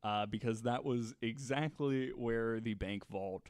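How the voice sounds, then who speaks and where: A man's voice: medium pitch, measured pace, darkish tone, clear, resonant tone, no echo, studio quality. A man co-hosting a podcast, telling a story in a casual, jokey, conversational way with some laughing as he talks. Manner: jokey, laughs while talking. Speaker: podcast co-host telling a true crime story.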